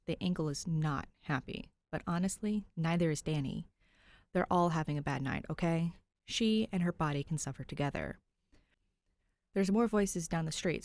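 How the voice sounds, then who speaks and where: A woman's voice: sits low, darkish tone. A woman narrating a scripted action story as an audiobook-style reader. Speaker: podfic narrator.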